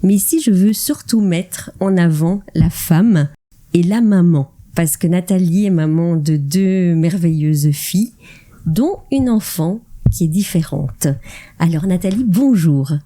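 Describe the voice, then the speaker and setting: Woman interviewer with a very mellow voice. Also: on the low side, unhurried. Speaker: podcast host and interviewer. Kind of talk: conversational interview.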